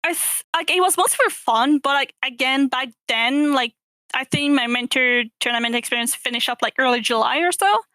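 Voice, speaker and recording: A woman's voice: high voice, fairly quick, pushed and bright, dry recording. A woman from France with a French accent, speaking English as a second language, chatting hesitantly as a podcast guest with many fillers. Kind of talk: casual interview chat.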